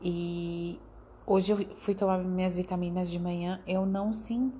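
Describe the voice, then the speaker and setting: A woman, a patient giving a soft, relieved personal account, recorded from farther away. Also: on the low side, steady tone, a little slow, slight background noise.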